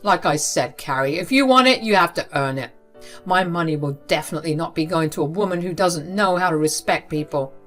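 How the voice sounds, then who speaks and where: A woman's voice: lowish voice, fairly quick, a bit bright, faint music behind, natural voice. Woman reading a stern old father. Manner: firm, scolding. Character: stern wealthy elderly father.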